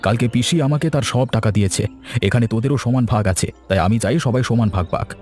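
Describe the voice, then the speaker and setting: A man's voice: medium pitch, fast, darkish tone, soft background music, close to an everyday voice. A man voicing an earnest, self-sacrificing eldest brother of a village family in a cartoon. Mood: earnest, principled. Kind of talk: Bengali cartoon dubbing.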